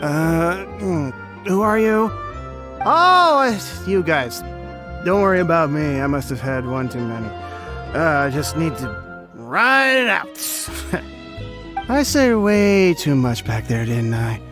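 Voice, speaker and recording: A tipsy, jittery man, his voice jumping up and down as he rambles and stammers. He keeps sliding into hushed, nervous warnings in video game dialogue, with game music underneath. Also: on the high side, sing-song, takes it slowly. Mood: sheepish.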